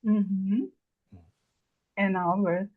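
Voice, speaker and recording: A woman's voice: medium pitch, level tone, very slow, narrow, tinny audio. A woman from Brazil, a native Portuguese speaker with a Brazilian Portuguese accent, giving a casual online class presentation as a guest lecturer. She speaks hesitantly and apologetically, with many fillers and restarts. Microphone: video call.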